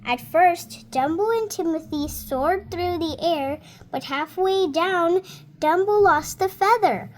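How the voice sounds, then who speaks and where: A girl of about seven reading a storybook aloud in a careful, halting, word-by-word way. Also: very high-pitched, slight background noise. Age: child, about seven. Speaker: young reader.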